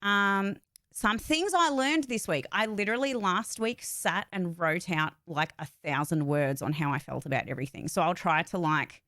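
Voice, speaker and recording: A woman's voice: fairly low pitch, moderate pace, a bit bright, no echo, clean studio sound. A woman chatting casually and informally on a livestream, rambling a little as she gives updates. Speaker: voice actor and streamer giving updates.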